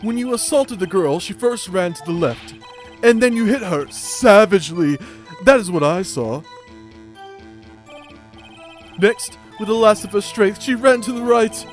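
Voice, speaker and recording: A pompous male businessman from a courtroom video game, as an amateur dubber puts him on. The voice sits high and drips with condescension. The delivery is affected, flowery and theatrical, over faint music. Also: expressive, moderate pace. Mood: smug, insistent.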